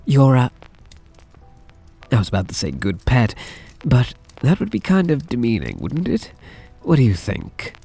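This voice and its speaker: A soft yandere mafia heir, acted by a man in an intimate ASMR roleplay, speaking slowly with a breathy, husky edge. His delivery rises and dips expressively as he coaxes, tender and possessive. Music plays quietly behind him. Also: lowish voice, full character voice. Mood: tender, teasing. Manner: tender, coaxing, softly possessive.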